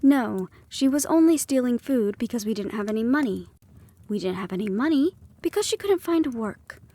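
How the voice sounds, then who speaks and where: A woman's voice: on the high side, animated, moderate pace. A woman as a sly, sardonic shadow thief. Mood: bitter. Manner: teasing and sardonic, dropping to soft whispers. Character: sly young female shadow thief, defiant and bitter. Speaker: voice actor in an audio roleplay. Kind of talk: audio roleplay acting.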